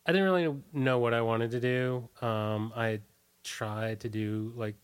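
A man's voice: medium pitch, fairly even intonation, a little slow, smooth. A middle-aged man talking casually as an interview guest, hesitant and meandering, often trailing off. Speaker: interview guest, musician and advertising copywriter.

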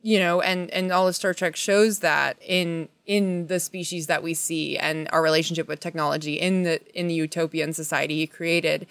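A woman's voice: low voice, fairly even intonation, moderate pace, few pauses, fairly bright tone, no room sound. A woman guest on a podcast, talking reflectively and earnestly in long, searching, wordy sentences. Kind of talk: casual, reflective podcast conversation.

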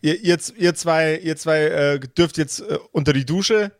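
A man hosting as game master, telling a story in an atmospheric, unhurried narrator's style. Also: a little high, dry recording, studio quality. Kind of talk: slow atmospheric scripted narration.

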